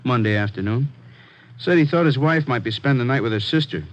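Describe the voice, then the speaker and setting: A man's voice: medium pitch, a little fast, close to an everyday voice. An actor playing a worried, insistent male police detective, colloquial and earnest, in a vintage radio crime drama. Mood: earnest reporting. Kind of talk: 1940s-50s radio crime drama acting. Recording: muffled, faintly noisy old radio recording.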